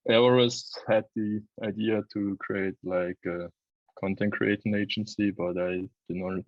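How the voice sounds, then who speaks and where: A man's voice: fairly low pitch, little pitch movement, unhurried, dry recording, dull audio. A man in his early twenties from Switzerland, chatting casually as an interview guest about his own experience. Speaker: interview guest, videographer and agency owner. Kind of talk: casual chat, telling own story.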